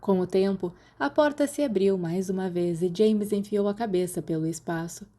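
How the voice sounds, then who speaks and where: A woman's voice: mid-range voice, normal speed, dark tone. A woman with a Brazilian accent reading scripted fiction as an audiobook narrator. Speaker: podfic narrator.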